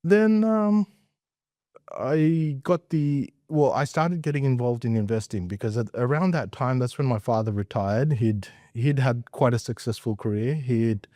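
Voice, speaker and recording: An Australian man in middle age chats as an interview guest, recounting things in a casual way. His tone is dark and relaxed at an average pitch, recorded very dry and close. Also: measured pace, no echo. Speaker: interview guest, investment professional. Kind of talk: casual conversational storytelling.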